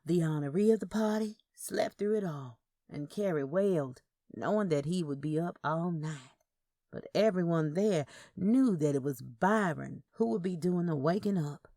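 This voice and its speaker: A woman's voice: fairly low pitch, moderate pace, warm tone, no room sound, studio quality. A woman reading scripted first-person fiction as a story narrator.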